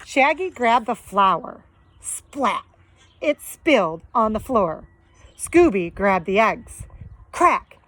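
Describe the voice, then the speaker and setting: Animated woman reading a children's story slowly. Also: a little high. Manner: deliberate, expressive. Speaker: second grade teacher reading aloud.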